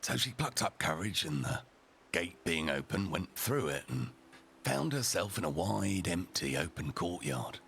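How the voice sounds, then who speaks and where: A man voicing a rough and ready, foul-mouthed storyteller, narrating a storybook tale theatrically with deliberate, dramatic pauses. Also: low voice, very animated, fairly bright tone, slight background noise, heavily acted. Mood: gruff, dramatic.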